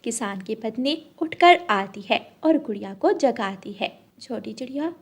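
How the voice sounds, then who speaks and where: A woman's voice: on the high side, expressive, measured pace. A woman narrating a scripted children's cartoon story.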